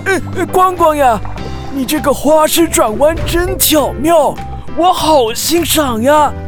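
Slow, high-pitched kindly uncle conch. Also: female voice, animated, over music. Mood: admiring, encouraging. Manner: stammering, reassuring, full of praise. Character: kindly encouraging old uncle conch, male sea snail. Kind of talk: children's audio drama acting.

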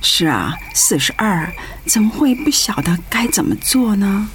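An actress playing a frail elderly mother in a radio drama, breathy and whispery, fretful and fondly nagging. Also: medium pitch, big pitch swings, unhurried, a bit bright. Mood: drowsy, fondly nagging. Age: older. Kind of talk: Mandarin radio drama acting. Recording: faint noise, night crickets and frogs behind.

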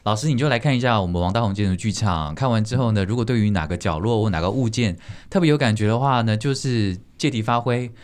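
Low-voiced Taiwanese man hosting a podcast. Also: fast, hardly any pauses, clean tone. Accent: Taiwanese Mandarin. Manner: chatty, mixes in English words. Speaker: podcast host. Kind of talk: casual talk show hosting.

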